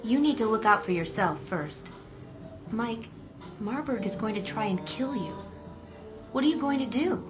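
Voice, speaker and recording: An actress playing an earnest, anxious young office employee turned informant in a video game drama, polite and hesitant. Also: female voice, on the high side, animated, moderate pace, pauses often, lightly acted. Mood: worried. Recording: music playing behind the voice.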